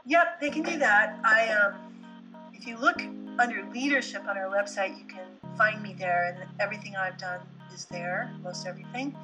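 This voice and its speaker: A woman speaking conversationally as an interview guest, in a medium-pitched voice. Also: moderate pace. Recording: distant mic under guitar music. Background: plucked guitar music.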